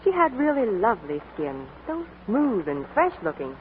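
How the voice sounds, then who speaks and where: A woman's voice: medium pitch, very animated, moderate pace, close to an everyday voice. An actress playing a chatty, bubbly young woman in a 1940s radio soap commercial. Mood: gushing. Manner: bubbly, gushing. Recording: old AM broadcast, narrow and hissy.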